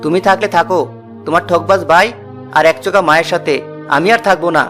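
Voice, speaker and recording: A man's voice: sits high, fairly even intonation, fast talker, a bit bright. A man voicing a confident, headstrong middle brother, a decisive young villager in a fairy-tale cartoon. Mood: defiant. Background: music.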